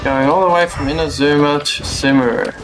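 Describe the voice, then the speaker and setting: A young man giving casual, unhurried gameplay commentary, musing to himself as he plays. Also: a little high, steady tone, rough recording. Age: young adult. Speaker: game streamer. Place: small room indoors at home. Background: game music.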